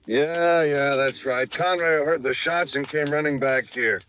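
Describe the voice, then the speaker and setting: An actor playing a stubborn, sarcastic city policeman in a 1950s radio drama, gruff and needling. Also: fairly high pitch, a little slow, fairly bright tone. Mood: scornful, needling. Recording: old radio recording with faint noise, clicks.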